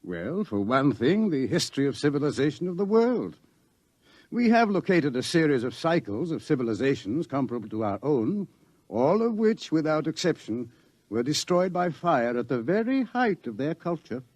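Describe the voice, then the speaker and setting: An actor playing an authoritative middle-aged scientist who lectures deliberately and ominously about coming doom, in an old radio drama style. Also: male voice, on the high side, very animated, a little mellow, no echo. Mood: grave, ominous. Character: authoritative older male scientist explaining impending doom. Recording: dull lo-fi old radio recording.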